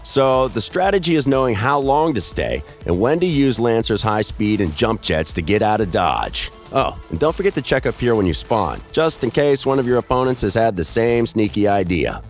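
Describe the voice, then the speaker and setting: A man's voice: on the low side, big pitch swings, normal speed, hardly any pauses, soft background music. A man giving scripted voice-over narration of game strategy tips in a polished, upbeat delivery.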